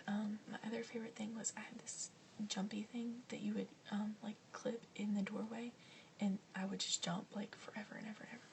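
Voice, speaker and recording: A young woman whispering softly as she chats through a list of questions, with frequent ums and likes. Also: on the high side, recorded from a distance, a little hiss or hum. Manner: soft whispering, chatty, many ums and likes. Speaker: vlogger answering questions. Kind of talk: whispered ASMR Q&A.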